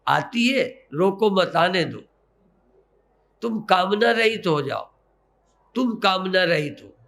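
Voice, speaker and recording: A man guides a breathing meditation in the way of a spiritual teacher. His voice sits a little high for a man and has a marked gravelly creak. He speaks very slowly, coaxing gently and repeating short phrases. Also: animated.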